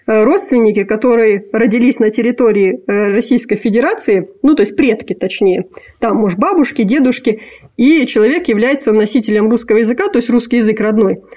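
A young woman from Kazakhstan, a graduate student, talking casually in an interview with many pauses and fillers. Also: a little high, warm tone. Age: young adult. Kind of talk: casual conversation.

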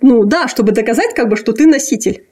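A young woman from Kazakhstan with a mellow, slightly raised voice. She talks in a halting, thoughtful way, full of pauses and fillers, while answering an interviewer. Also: fairly high pitch, lively intonation. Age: young adult. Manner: hesitant, many fillers and pauses. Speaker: interviewee, PhD student. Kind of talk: casual conversation.

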